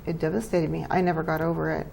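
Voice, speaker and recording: Gravelly older woman, chatty guest. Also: low voice, level tone, a little mellow, slight background noise. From New Mexico, US. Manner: chatty, laughs easily, halting with fillers. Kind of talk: casual interview chat.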